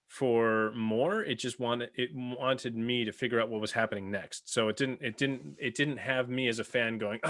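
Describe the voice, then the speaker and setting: A man on a podcast panel, talking casually and enthusiastically, often halting to gather his thoughts. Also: medium pitch, a bit bright, no room sound, clean studio sound. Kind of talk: casual discussion.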